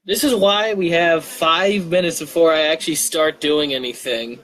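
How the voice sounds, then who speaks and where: A man's voice: fairly high pitch, moderate pace, a bit bright, poor audio. A man chatting casually on a livestream, rambling and muttering to himself as he goes. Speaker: live streamer.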